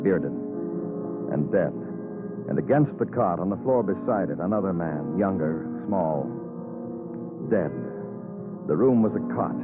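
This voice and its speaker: An actor playing a weary, brooding police detective who narrates in terse, somber, poetic noir style in a 1950s radio drama. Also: male voice, deep voice. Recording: narrow-band old radio broadcast, music behind.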